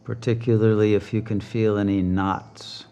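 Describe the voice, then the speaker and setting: A man leading a guided meditation as a teacher, speaking calmly and deliberately with long pauses. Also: fairly low pitch, clean tone, faint music behind.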